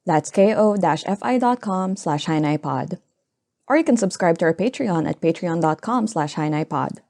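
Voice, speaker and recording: A woman reads scripted podcast credits as the show's producer. Her voice is fairly low and soft-edged, with a dry, close sound. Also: animated, normal speed, no echo.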